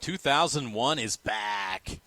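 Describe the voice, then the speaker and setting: Bright, lively-pitched American radio co-host. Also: male voice, takes it slowly, no room sound. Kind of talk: casual radio banter.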